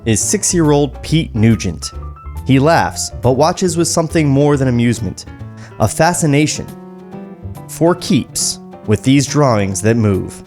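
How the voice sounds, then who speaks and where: A man's voice: mid-range voice, a little mellow. American man, podcast host over music. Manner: casual, swears freely. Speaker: podcast co-host reading a film script. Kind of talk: casual podcast chat and script read-aloud.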